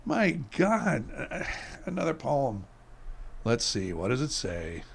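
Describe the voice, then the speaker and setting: A man's voice: medium pitch, very animated, slow pace, creaky, a little hiss or hum, full character voice. An actor playing a greedy young man in a radio drama, hesitant and stammering, later panicking. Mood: puzzled. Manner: hesitant, breaks into screams of panic.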